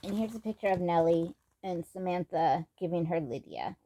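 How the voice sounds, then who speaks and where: A woman reading a children's storybook aloud as an expressive narrator. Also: lowish voice, moderate pace. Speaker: storybook reader.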